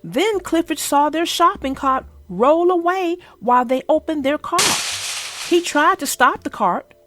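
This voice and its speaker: A woman voicing an earnest young girl who tells about her dog in a simple, expressive storybook read-aloud. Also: sits high, measured pace, soft background music.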